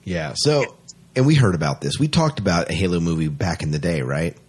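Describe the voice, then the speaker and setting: A man with a very low, rather husky voice co-hosts a podcast. He moves between reading news items and casual chat, offering his opinions with open enthusiasm. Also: lively intonation, fairly quick.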